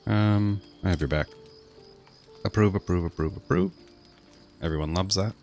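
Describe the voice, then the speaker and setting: A man's voice: low-pitched, moderate pace, vocal fry, a little mellow, faint music behind. A man giving casual, offhand live commentary on a video game in short joking asides. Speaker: game streamer.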